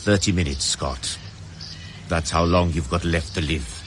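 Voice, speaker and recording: An actor playing a cold, commanding KGB major in a radio drama, giving clipped, menacing orders. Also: male voice, deep voice, steady tone, a little slow, a bit bright, slight background noise. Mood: cold, threatening.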